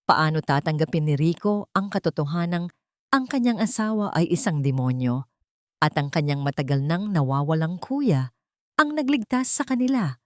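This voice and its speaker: A woman storyteller with a low voice and a close, dry sound. She narrates a scripted drama in a solemn, emotive manner. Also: expressive, normal speed, dry recording.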